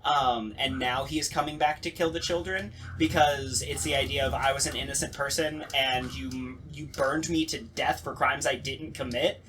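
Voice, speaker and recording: A man hosting a casual podcast discussion, talking in a rambling, animated, sweary way. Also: medium pitch, moderate pace, few pauses, a little hiss or hum. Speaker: podcast host.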